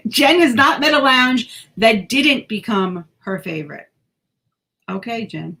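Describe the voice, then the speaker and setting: A woman's voice: on the high side, takes it slowly, pushed and bright. Chatty woman co-hosting a podcast. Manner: chatty, stumbles over words, self-deprecating. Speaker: podcast co-host. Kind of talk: casual chat.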